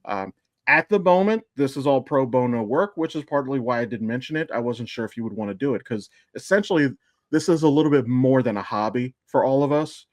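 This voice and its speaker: A man's voice: medium pitch, expressive, fairly quick, dry recording. Casual man hosting, very dry sound. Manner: informal, freely swearing. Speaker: podcast host. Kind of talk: casual chat.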